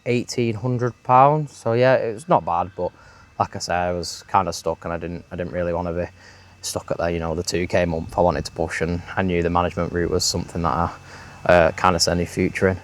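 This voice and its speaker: Very deep-voiced young man. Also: steady tone, brisk, slight background noise. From Manchester, England. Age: late teens. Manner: hesitant, lots of ums, laughs shyly. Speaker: interview guest, sales manager. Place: villa, indoors.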